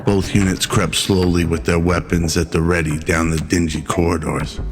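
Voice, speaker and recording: A man with a very low voice narrates a radio drama. He tells the story in a measured, solemn way with very little melodic movement. Music plays clearly underneath.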